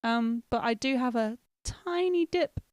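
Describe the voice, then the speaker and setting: A woman's voice: a little high, a little slow, a little mellow, no room sound, studio quality. A woman co-hosting a casual livestream chat, telling anecdotes, laughing often and quoting the people in them. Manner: chatty, laughs often, tells anecdotes with quoted dialogue. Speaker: co-host.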